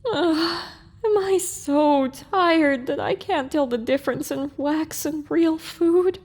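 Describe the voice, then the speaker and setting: A woman's voice: sits high, takes it slowly, darkish tone. A woman voicing a sassy, grumpy but easily thrilled young pony mare in an audio drama, with exaggerated reactions.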